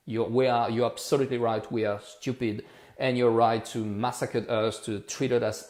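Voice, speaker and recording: A man's voice: lowish voice, darkish tone, echoey room. A man of forty, talking heatedly and repeating himself on a casual livestream. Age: 40s. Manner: impassioned, repetitive, rhetorical. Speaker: livestream host.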